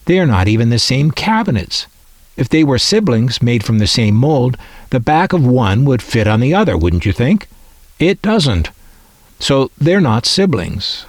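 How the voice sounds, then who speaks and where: A man narrating a scripted piece as a hobbyist collector, with reflective, measured phrasing. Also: mid-range voice, husky, no echo. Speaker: radio collector presenting their collection.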